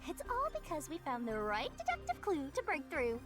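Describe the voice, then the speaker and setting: A bratty little death-god guide with a high voice that leaps around in pitch. She is smug, lazy and playful in a theatrical anime game style, with music clearly playing behind her. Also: wildly swooping pitch, a little fast. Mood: smug, boastful.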